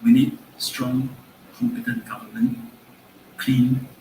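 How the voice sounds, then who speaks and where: A man's voice: mid-range voice, animated, slow, vocal fry, warm tone. Emphatic man debating in parliament. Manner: emphatic, rhetorical questions. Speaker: government member of parliament. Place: parliament chamber. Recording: echoey chamber with faint noise.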